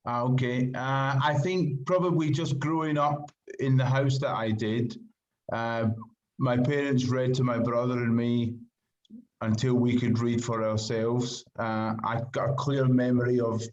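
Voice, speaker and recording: On a slightly muffled, lo-fi recording, a middle-aged Scottish man talks at a medium pitch. He answers casually, as a guest in an interview. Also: steady tone, a little slow, a little mellow. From Glasgow, Scotland.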